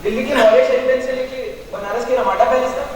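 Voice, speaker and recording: A man's voice: very high voice, fairly even intonation, quick pace. A man acting in a stage play as a humble retired accountant turned private detective, an adoring fan who speaks in an earnest, deferential, flowery way. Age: older. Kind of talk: theatrical Hindi stage acting. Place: stage in an auditorium. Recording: distant mic in echoey noisy hall.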